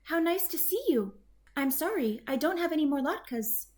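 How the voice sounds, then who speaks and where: A woman's voice: high voice, expressive, moderate pace, a little mellow, voice pushed up. A woman voicing a kindly, chatty old woman who fusses over her guest in a children's storybook. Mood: bright, welcoming. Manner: hospitable, fussing, exclaiming. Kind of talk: children's storybook read-aloud.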